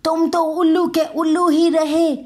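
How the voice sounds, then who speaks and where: Shrewd male storybook crow played by a woman: high voice, bossy, scolding, know-it-all. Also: flat delivery, a little mellow.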